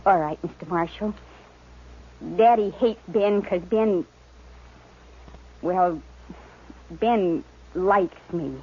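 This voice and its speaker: A woman's voice: on the low side, very slow, long pauses, breathy edge, full character voice. A woman playing a timid, earnest fifteen-year-old farm girl with a rural American accent in a 1950s radio western. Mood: reluctant. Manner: hesitant, naive, earnest. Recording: muffled vintage radio recording.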